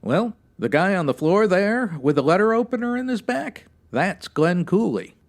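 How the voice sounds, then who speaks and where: Weary, higher-pitched police lieutenant played by a man. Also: animated, normal speed. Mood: grim. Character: weary male police lieutenant, firm, businesslike. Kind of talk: noir radio drama acting.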